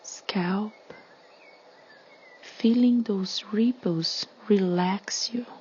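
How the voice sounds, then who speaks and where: A woman leading a guided meditation in a soothing, hushed, breathy delivery with long pauses. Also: medium pitch, lo-fi sound, slight background noise.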